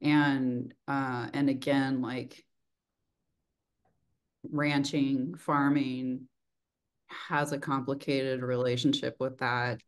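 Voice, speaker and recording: A woman's voice: very deep voice, little pitch movement, takes it slowly, long pauses. Reflective middle-aged woman, mild Texan accent. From rural Texas, United States. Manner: reflective, informal, frequent "like". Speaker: interviewer, host. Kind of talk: casual chat. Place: small indoor room, video call. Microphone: video call, desk distance.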